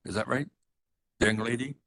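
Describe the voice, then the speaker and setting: Bright-voiced man from Hawaii at a meeting. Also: mid-range voice, fairly even intonation, a little fast, slight background noise. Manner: courteous, explanatory, offers help. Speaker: neighborhood board member. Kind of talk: public meeting comment. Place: community meeting room.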